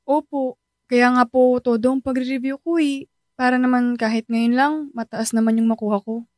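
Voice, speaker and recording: A woman's voice: on the high side, level tone, normal speed, darkish tone, dry recording, clean studio sound, close to an everyday voice. A woman voicing a polite, studious teenage child in a radio drama who talks respectfully to a parent.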